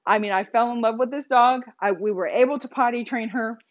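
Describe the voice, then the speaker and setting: A woman hosting her own podcast, talking casually in a loud, emphatic voice with many restarts. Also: a little high, a little fast, dull audio. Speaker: podcast host. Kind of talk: casual solo podcast monologue.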